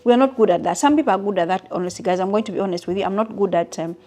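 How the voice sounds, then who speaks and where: A woman's voice: medium pitch, racing, warm tone. A woman in her fifties from Uganda, chatting casually and openly with her viewers in a question-and-answer vlog, often stopping to rephrase. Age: 50s. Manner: chatty, frequent restarts and asides to viewers. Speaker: vlogger.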